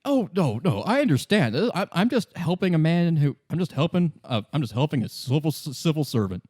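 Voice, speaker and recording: A man playing a smooth, ingratiating union boss who wheedles and reassures in a chummy way, in a tabletop game. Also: a little high, big pitch swings, a little fast, a little mellow, no echo, studio quality, voice pushed up. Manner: chummy, wheedling, over-assuring.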